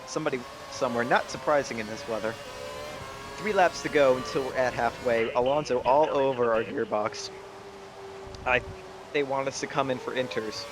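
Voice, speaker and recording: A man's voice: mid-range voice, normal speed. A young man casually commentating on a racing game, joking and swearing now and then. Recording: commentary over game engine noise. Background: racing game engine sounds.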